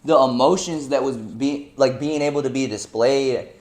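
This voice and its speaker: A man's voice: mid-range voice, moderate pace, darkish tone. A man in his twenties who grew up in North Carolina, talking loosely as an interview guest, full of slang and fillers. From United States (North Carolina). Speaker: guest musician. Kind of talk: casual interview chat.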